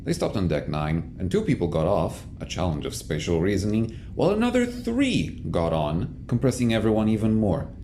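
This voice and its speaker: A man's voice: lowish voice, wildly swooping pitch, normal speed, few pauses, dark tone, a little hiss or hum. A man narrating a scripted science fiction audio drama as its storyteller. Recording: music bed under the voice.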